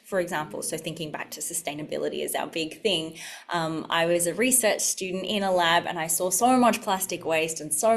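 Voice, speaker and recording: A woman speaking as a panelist in a discussion, reflective and thoughtful, pausing with fillers. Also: on the low side.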